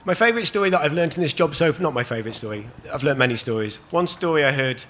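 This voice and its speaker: A man, hoarse from losing his voice, hosts a live conference panel in a loose, chatty way with many ums and restarts. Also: a little high, animated, rapid-fire, fairly bright tone, a little hiss or hum. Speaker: panel host. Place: conference stage at a trade show.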